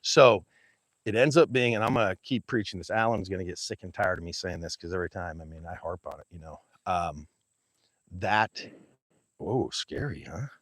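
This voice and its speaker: Rancher guy with a big booming voice, low-ish, chatting to his stream out in the field, hat mic, dry sound. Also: normal speed, fairly bright tone, no echo. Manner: casual, folksy, explains step by step. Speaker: rancher teaching grazing. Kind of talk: livestream teaching talk, chatting with viewers. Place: outdoors in a pasture among cattle. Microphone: small mic clipped to his hat.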